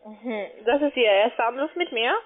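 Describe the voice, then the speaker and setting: High-pitched woman on the phone. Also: normal speed. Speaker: call centre sales agent. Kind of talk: unscripted sales phone call. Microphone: telephone. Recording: muffled tinny phone line.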